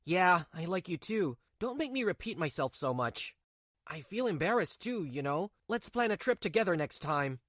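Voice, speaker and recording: A woman's voice: lowish voice, big pitch swings, moderate pace, no room sound, studio quality. A woman voicing a selfish, irritable cheating husband with a dismissive manner in a manga dub. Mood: flirty, smug. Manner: dismissive, snappy when challenged. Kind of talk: manga dub character acting.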